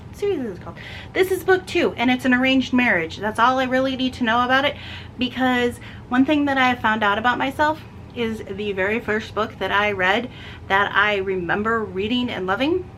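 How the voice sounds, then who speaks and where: Bright-voiced woman chatting casually, noisy recording. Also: on the high side, lively intonation, normal speed. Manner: chatty, rambling and self-correcting. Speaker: book vlogger. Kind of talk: casual chatty book review.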